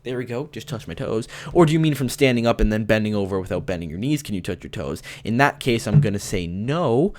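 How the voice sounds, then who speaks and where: A man's voice: lowish voice, animated, quick pace, hardly any pauses. A young man from Canada with a lisp, hosting a casual gaming-channel Q&A from his bedroom, joking and laughing as he answers. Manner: chatty, joking, laughs often. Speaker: YouTube gaming channel host. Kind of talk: casual Q&A vlog.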